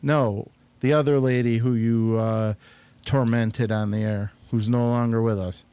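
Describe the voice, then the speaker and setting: A man hosting a casual interview, speaking in a halting, hesitant way with many pauses. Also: medium pitch, flat delivery, mellow, clear, resonant tone. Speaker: show host and interviewer.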